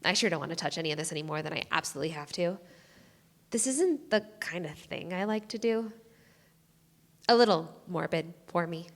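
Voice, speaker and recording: An actress playing a chatty, squeamish small-island sheriff, polite and rambling, in a live staged reading. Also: female voice, lowish voice, expressive, brisk. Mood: polite, uneasy. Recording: reverberant live theatre recording.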